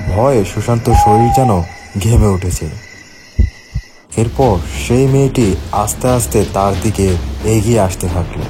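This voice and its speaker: A man's voice: fairly low pitch, measured pace, pauses often, over loud music. A man giving scripted narration for a horror cartoon tale.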